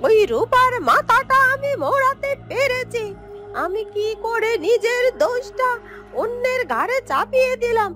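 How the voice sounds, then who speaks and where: A woman's voice: very high-pitched, expressive, a little slow, over music. A woman playing a sly, gloating schemer in a cartoon fairy tale, smug and drawing out her words. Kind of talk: cartoon villain monologue.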